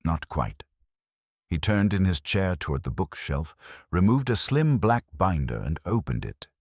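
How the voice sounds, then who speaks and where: Very deep-voiced man narrating detective fiction. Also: very animated, normal speed, gravelly, dry recording, studio quality. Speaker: audiobook narrator. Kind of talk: scripted narration of a vintage detective story.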